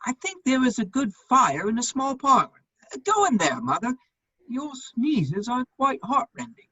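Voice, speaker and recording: A woman playing a witty, idle gentleman bachelor in his thirties, arch and drily polite, in a period comedy reading. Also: a little high, big pitch swings, unhurried, slightly rough, exaggerated voice. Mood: placating. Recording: dull, echoey, lo-fi room sound.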